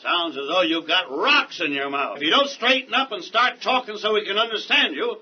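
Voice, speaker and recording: High-pitched, blustering tower builder. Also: male voice, expressive, fairly quick, husky. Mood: exasperated. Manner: blustering, folksy. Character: brash, bossy, exasperated Babel tower builder. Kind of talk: audio Bible drama acting. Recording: dull lo-fi dramatised recording.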